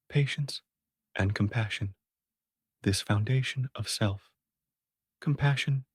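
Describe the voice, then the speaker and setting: A man speaking slowly and softly as he guides a sleep meditation, with long soothing pauses. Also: on the low side, slightly rough, dry recording, clean studio sound.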